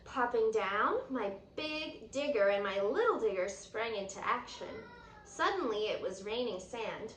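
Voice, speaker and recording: A woman's voice: a little high, lively intonation, measured pace, fairly bright tone. A woman reading a children's picture book aloud expressively and explaining it in an instructive, teacherly way. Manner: instructive, explains and points things out. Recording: roomy echo, distant mic, faint noise.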